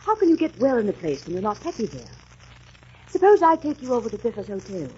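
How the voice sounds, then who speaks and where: Lively, kind young dancer. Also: female voice, on the high side. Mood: gentle, reassuring. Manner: brisk, coaxing, reassuring. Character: kind, brisk young woman dancer befriending a lost soldier. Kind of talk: 1940s radio drama acting. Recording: muffled, noisy 1940s radio recording.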